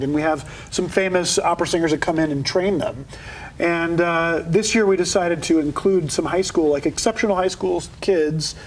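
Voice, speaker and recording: This man talks about his opera festival with real enthusiasm, laying things out clearly for a morning TV audience. His voice sits unusually high for a man. A faint hum of noise hangs behind him in the studio. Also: poor audio. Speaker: opera festival executive director. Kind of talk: morning TV interview guest.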